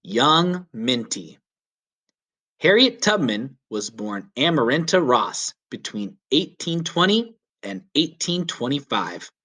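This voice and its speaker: Man reading aloud to children, very animated pitch. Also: takes it slowly. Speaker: teacher reading a story aloud. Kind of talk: children's read-aloud narration.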